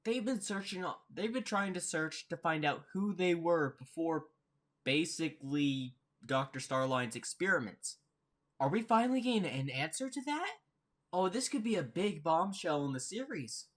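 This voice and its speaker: A man's voice: a little high, big pitch swings, normal speed, fairly bright tone. A man hosting a casual reaction commentary, thinking aloud and often repeating and rephrasing himself. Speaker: reaction host.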